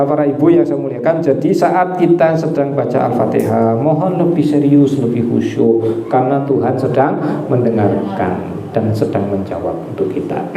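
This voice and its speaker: A man's voice: medium pitch, creaky, dark tone. A man giving a conversational religious study talk to an audience. He tells stories, asks his listeners questions and repeats key phrases. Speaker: Islamic preacher. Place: hall with a live audience. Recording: echoey room with an audience.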